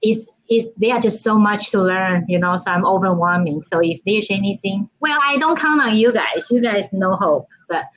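A woman's voice: mid-range voice, normal speed, few pauses, fairly bright tone, low-quality recording. A woman from Taiwan with a Taiwanese accent, chatting as a guest in a casual interview, often restarting and filling with "you know".

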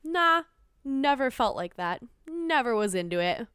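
A woman's voice: high voice, a little slow, clean tone, no echo, studio quality. A young woman from Minnesota with a slight Minnesotan accent, chatting casually on a podcast and acting out quotes as she tells stories. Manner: animated storytelling, acts out quotes, laughs often. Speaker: podcast guest. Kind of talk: casual chat.

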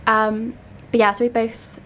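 Bubbly young woman, slightly high. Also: level tone, unhurried, a bit bright, a little hiss or hum. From Cornwall, England. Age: young adult. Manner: bubbly, laughs often, lots of "like". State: snotty with a cold. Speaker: podcast co-host. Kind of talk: casual chat. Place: student radio studio.

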